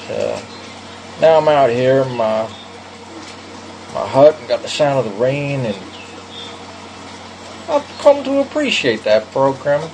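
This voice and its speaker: Frail old male recluse, acted by a man: slow, halting, resigned delivery in an audio sketch, with clear background noise. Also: a little mellow. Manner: halting, hesitant, drawn-out words. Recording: clearly noisy background rumble.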